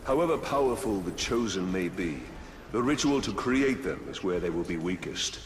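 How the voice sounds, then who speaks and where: An actor playing a grim, determined ranger hero in a video game, serious and brooding. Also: male voice, sits low, animated, moderate pace, slight background noise. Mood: grim resolve. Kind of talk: video game cutscene acting.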